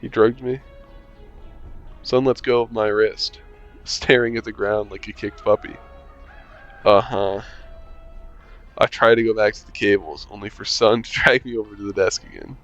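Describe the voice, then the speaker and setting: Lowish man reading a game aloud. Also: steady tone, moderate pace, pauses often, fairly bright tone, dull audio, faint music behind. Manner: reads aloud, chuckling, wry asides. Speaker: gamer reading a visual novel aloud. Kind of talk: casual let's-play narration.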